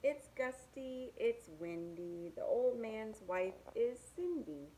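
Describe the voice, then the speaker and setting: A woman's voice: on the high side, big pitch swings, takes it slowly, warm tone, a little hiss or hum, low-quality recording. A woman reading picture books aloud to young children, with sing-song rhymes and simple asides about the pictures.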